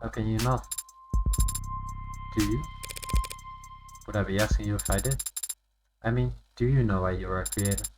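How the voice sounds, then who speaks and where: A man voicing a wry, sardonic high-powered defense lawyer in a video game, with dry, questioning delivery. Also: normal speed, pauses often, close to an everyday voice. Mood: probing, wry. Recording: loud music behind the voice.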